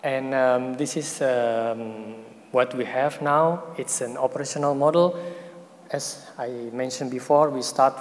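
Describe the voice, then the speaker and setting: A man's voice: medium pitch, fairly even intonation, measured pace. A man giving a technical conference presentation and answering questions, hesitant, with many fillers and restarts. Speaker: presenting researcher. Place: conference hall. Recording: hall reverb, faint noise.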